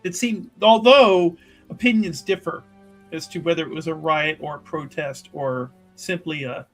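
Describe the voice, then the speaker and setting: A man's voice: fairly high pitch, normal speed, a bit bright, soft background music, close to an everyday voice. A man playing an earnest, wry investigator in a fantasy tabletop game, musing hesitantly and circling back over his words. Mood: puzzled, probing. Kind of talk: tabletop roleplay, improvised in character.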